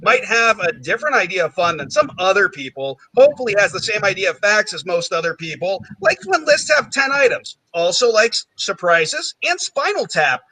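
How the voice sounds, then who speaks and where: A young man hosting a live comedy game show, riffing in quick, self-mocking banter full of fillers. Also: high voice, big pitch swings, bright, forceful tone, rough recording.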